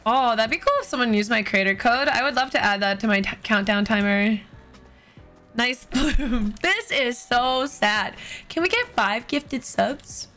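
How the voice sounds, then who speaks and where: A woman's voice: fairly high pitch, measured pace, bright, forceful tone, soft background music. A woman in her twenties chatting casually on a game livestream, recorded close on a streaming mic indoors. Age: 20s. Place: bedroom streaming setup indoors. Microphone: close boom-arm streaming mic with headset.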